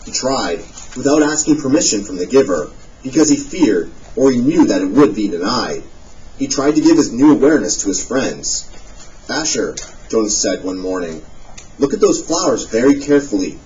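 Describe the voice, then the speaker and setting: Man, audiobook narration, lively intonation, distant mic, muffled sound. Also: mid-range voice. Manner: expressive reading with lively dialogue. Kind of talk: scripted novel narration. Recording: distant, dull sound with faint hiss.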